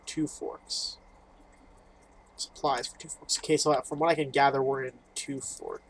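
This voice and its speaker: A man's voice: mid-range voice, steady tone, normal speed, gravelly, slight background noise. A man hosting a gaming video, casually reading game text aloud and reacting with informal, muttered asides. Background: game music.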